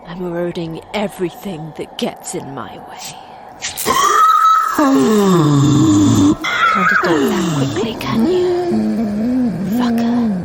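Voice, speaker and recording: A woman's voice: medium pitch, heavy background noise. An actress playing a tough, wry, injured woman scientist in an audio drama, muttering dryly to herself. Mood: defiant, then straining, furious. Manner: dry, sardonic, muttering to herself. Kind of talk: audio drama acting, intimate monologue.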